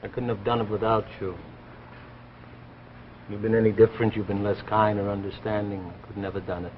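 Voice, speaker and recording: An actor playing a preoccupied, driven scientist husband in a 1950s radio drama, emphatic and halting, repeating himself insistently. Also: male voice, lowish voice, little pitch movement, close to an everyday voice. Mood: earnest, needy. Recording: muffled, noisy 1950s radio recording, reverberant.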